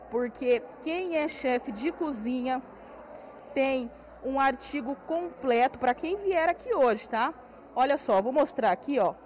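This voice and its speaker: A woman in her thirties with a Brazilian accent, doing a live TV field report at an indoor craft fair on a handheld mic, chatty and guiding viewers around. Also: on the high side. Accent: Brazilian Portuguese. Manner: chatty, walks and points while talking. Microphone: handheld station mic.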